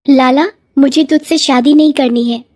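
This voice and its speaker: Lady doing a defiant village girl, young adult, firm n earnest, highish voice, moral story cartoon. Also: steady tone, normal speed, mellow, slight background noise, natural voice.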